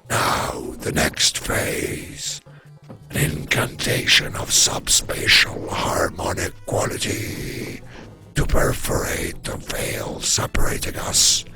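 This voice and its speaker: Giant alien robot played by a man: gravelly, theatrical comic-dub delivery, faint music behind. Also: a bass voice, sharp tone, heavily acted. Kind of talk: amateur comic dub voice acting.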